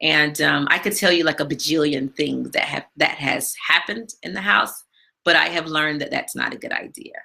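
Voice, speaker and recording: Woman, live-stream host, bright forceful tone, low pitch, chatty with fillers. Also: moderate pace, gravelly. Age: adult with a daughter. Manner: chatty, many fillers, big loud voice. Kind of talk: casual live-stream chat. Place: home, not her usual studio.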